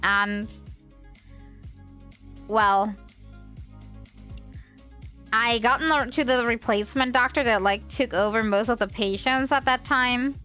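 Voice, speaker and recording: A woman's voice: fairly high pitch, slow pace, pauses often, pushed and bright, faint music behind. A woman livestreamer with a slight cold, chatting casually in a hesitant, rambling way.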